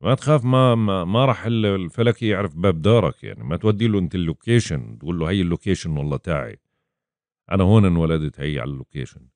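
A man's voice: low voice, lively intonation, racing, a little mellow, dry recording. A man gives a casual astrology lesson, acting out his clients' questions in quoted voices. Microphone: voice-over over chart screen.

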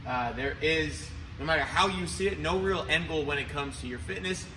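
A man's voice: a little high, normal speed. A man in his thirties speaking as a gym coach, giving an instructional talk to camera. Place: large indoor gym. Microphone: camera a few metres away. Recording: roomy, distant mic, noisy gym ambience.

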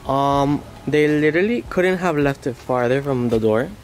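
A man's voice: mid-range voice, moderate pace, background noise. A young man vlogging casually to a handheld camera around his home, chatty and flamboyant with slang. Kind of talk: casual vlog chat to camera. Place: home rooms and parked car. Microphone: handheld camera mic. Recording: echoey rooms with music underneath.

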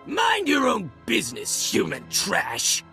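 An actor playing an aggressive, short-tempered gang thug in a video game, taunting and menacing. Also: male voice, high voice, huge pitch swings, takes it slowly, gravelly, pushed and bright, soft background music, exaggerated voice. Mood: angry, menacing. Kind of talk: video game dialogue acting.

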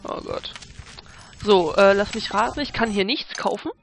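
A man's voice: high-pitched, moderate pace, gravelly. Man with a cold, grumbling game commentary, game music behind. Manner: grumbling, exasperated. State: has a cold. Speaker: let's play host. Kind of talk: casual gameplay commentary.

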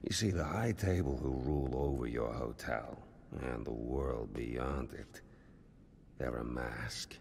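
A man playing a menacing, ambitious older crime boss in a video game, gravelly and deliberate, with theatrical pauses. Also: a bass voice, a little mellow, slight background noise, full character voice. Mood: menacing, grandiose. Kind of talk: video game dramatic dialogue.

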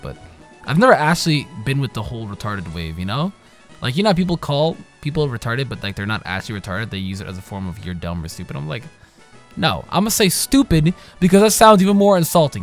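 A young man giving casual, profane let's-play commentary, trash-talking the characters and breaking into sudden loud yells. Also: mid-range voice. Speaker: gaming channel host. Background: game music.

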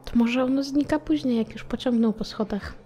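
A woman's voice: a little high, brisk, mellow, slight background noise. A woman playing a tabletop roleplaying game, improvising actions and talk in character.

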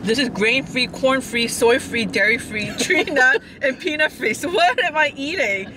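A woman's voice: high-pitched, lively intonation, normal speed, distant mic. A woman in her thirties, a vlogger chatting casually while tasting snacks in a parked car, giggly and reacting as she eats. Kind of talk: casual car mukbang chat. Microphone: camera on dashboard. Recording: loud car background noise.

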